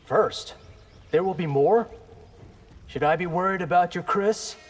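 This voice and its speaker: An actor playing a brash, defiant young ninja in a video game, cocky and sardonic. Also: male voice, high voice, a little slow. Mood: wary.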